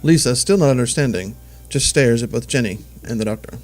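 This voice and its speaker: A man's voice: mid-range voice, animated, fairly quick, darkish tone, faint music behind. A man reading scripted narration for an audio drama in the role of narrator.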